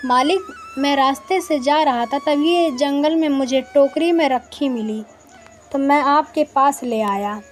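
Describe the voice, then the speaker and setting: A kindly, simple fisherman acted by a woman talks earnestly in a high voice. He is a storybook villager, with music playing behind. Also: a little slow.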